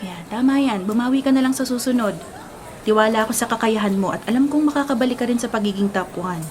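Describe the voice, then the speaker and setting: Actress as female schoolteacher, Filipino accent, caring and orderly, highish voice, radio drama, noisy background. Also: fast talker, some chatter behind, natural voice. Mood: gentle, concerned. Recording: clearly noisy background ambience.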